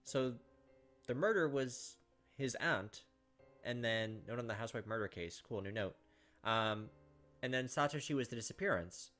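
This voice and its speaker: Casting: male gameplay host with a mid-range voice, reads story narration and chats casually over soft game music. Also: mid-range voice, animated, normal speed. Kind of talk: reading visual novel narration aloud, casual commentary.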